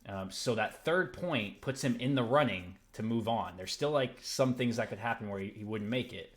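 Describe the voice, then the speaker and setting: A man's voice: fairly low pitch, moderate pace. Man casting a strategy game tournament. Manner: explains rules at length, chuckles often. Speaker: tournament caster. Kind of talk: game commentary.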